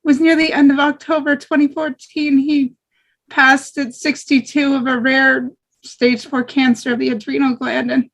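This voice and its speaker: High-voiced woman sharing a personal memory. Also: fairly bright tone, rough recording. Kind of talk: personal recollection in group chat.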